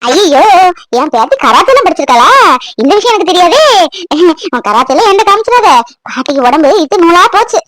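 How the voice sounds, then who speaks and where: A woman's voice: extremely high pitch, big pitch swings, fairly quick, fairly bright tone, no room sound, exaggerated voice. A woman playing an affectionate elderly grandmother in a family drama, coaxing and fussing in a homely way.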